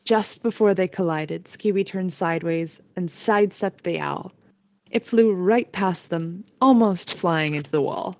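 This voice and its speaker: A woman's voice: lowish voice, unhurried. A woman narrating a scripted fantasy audio story in a storytelling voice.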